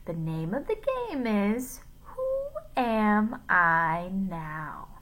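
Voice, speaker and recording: A woman's voice: medium pitch, sing-song, extremely slow, fairly bright tone, heavily acted. A woman voicing a mean, sneering male teacher with a sore-throated, sick-donkey French voice in a storybook reading. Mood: taunting, slow. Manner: taunting, menacing.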